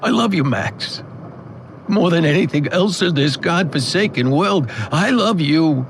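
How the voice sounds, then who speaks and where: A man playing a loving, gentle older father in an audio drama, speaking tenderly and earnestly. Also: a little high, expressive, a little slow, slight background noise, pitched above the performer's own voice. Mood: tearful, loving. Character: loving, gentle widowed father nearing old age.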